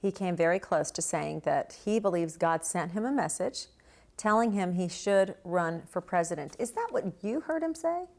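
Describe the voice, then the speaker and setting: A woman's voice: on the low side, animated, moderate pace, gravelly. An American woman reporter asking questions in a television news interview.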